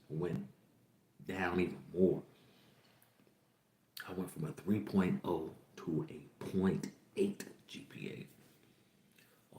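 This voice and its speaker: Deep-voiced young man telling his story. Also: warm tone, roomy sound. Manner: candid, rambling, repeats himself. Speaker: podcast host. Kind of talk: personal storytelling to a livestream audience.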